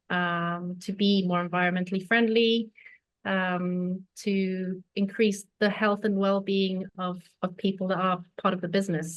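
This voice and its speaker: A woman chef and cookbook author from Tanzania, talking hesitantly with many fillers on a webinar video call. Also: medium pitch. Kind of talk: webinar talk.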